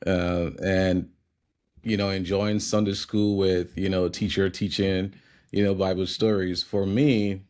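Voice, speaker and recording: A man from Liberia in his thirties speaks with a very low, deep voice. He talks in a relaxed, reflective way, pausing often with fillers as a guest in a conversational interview.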